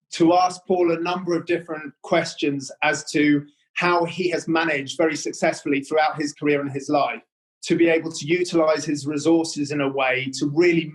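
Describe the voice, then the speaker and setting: A man's voice: a little high, poor audio. A man hosting an interview, rambling with many fillers and restarts. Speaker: interview host. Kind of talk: hesitant conversational interview.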